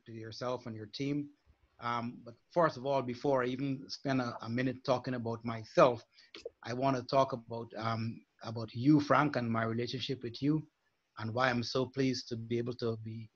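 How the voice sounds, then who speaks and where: An older man from Guyana, a life coach, speaking earnestly and in a measured way as a guest on a video call. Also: mid-range voice, a bit bright. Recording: muffled video call audio.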